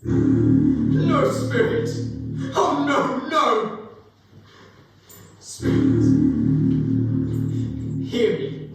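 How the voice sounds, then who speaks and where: A man's voice: extremely high pitch, very animated, extremely slow, creaky, long pauses, soft background music, exaggerated voice. A young man playing a frightened, repentant old miser in a theatrical, formal, pleading dramatic reading. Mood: terrified, desperate. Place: live performance in a reverberant museum room. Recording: very echoey hall, distant mic, faint noise.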